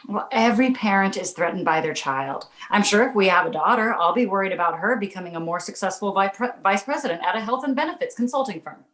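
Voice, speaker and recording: A woman playing a doting young mother with a soothing, reasoning manner, in an animated comedy table read. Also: mid-range voice, quick pace, hardly any pauses, lightly acted. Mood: patient. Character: doting, placating young mother of a baby boy. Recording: echoey room.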